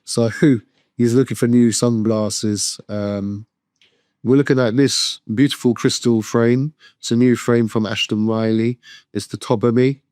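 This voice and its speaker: A man's voice: mid-range voice, steady tone, a little slow, darkish tone, no echo, studio quality. A man casually vlogging from an eyewear shop, chatting about a client and stumbling over product names. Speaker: optician vlogger.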